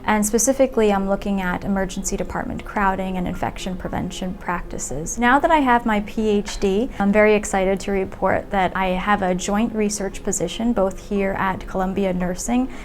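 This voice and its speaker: A woman's voice: mid-range voice, fairly even intonation, moderate pace, hardly any pauses. A woman giving a prepared testimonial as a nurse researcher.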